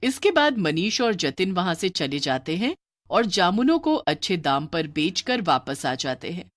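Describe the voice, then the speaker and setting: Animated woman narrator with a lower voice. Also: a little slow. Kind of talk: scripted story narration.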